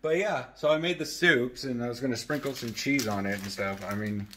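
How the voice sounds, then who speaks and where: Male vlogger, thirties, bright forward voice, loose rambling chat with joking asides, handheld camera at home. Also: mid-range voice, a little fast, a little hiss or hum. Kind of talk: casual chatty vlog. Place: apartment living room and open kitchen. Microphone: handheld camera at arm's length.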